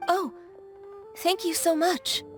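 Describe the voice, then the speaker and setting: A woman's voice: very high voice, steady tone, takes it slowly. A woman playing a polite, conscientious young female official in a video game, speaking formally and earnestly. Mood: grateful. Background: game music.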